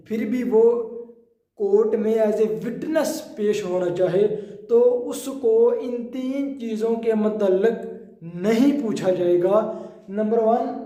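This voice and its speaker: Male law lecturer, Pakistani accent, very unhurried and soft-edged delivery, explains and rephrases, recorded in an echoing space. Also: sits high. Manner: deliberate, repeats and rephrases points. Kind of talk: explanatory legal lecture mixing in English terms. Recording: roomy, echoing sound.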